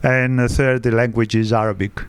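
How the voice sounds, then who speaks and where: A man in his fifties, born in Egypt with Greek as his first language, answering interview questions in halting English full of "uh" fillers. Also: medium pitch, little pitch movement, clear, resonant tone, no echo. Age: 50s. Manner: halting, frequent "uh" fillers, non-native grammar. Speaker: guest, wealth adviser. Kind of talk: podcast interview.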